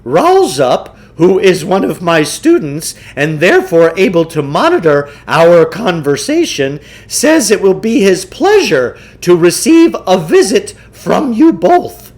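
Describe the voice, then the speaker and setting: A man reading a children's novel, voicing a pompous, theatrical, eccentric salesman who lectures with deliberate self-importance. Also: high-pitched, sing-song, darkish tone, full character voice. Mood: grandly secretive. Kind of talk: children's novel read aloud in character.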